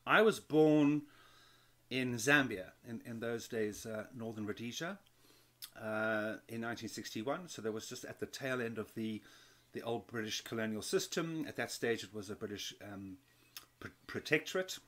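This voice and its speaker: A man's voice: mid-range voice, normal speed, fairly bright tone. A man in his fifties from South Africa, telling his story as an interview guest, with many restarts and repeated words. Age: 50s. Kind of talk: conversational interview.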